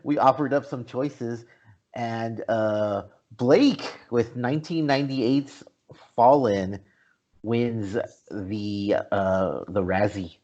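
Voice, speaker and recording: A man's voice: medium pitch, slow pace, darkish tone, highs cut off. A man hosting a movie podcast, talking informally in a casual panel discussion. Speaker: host of a movie review podcast.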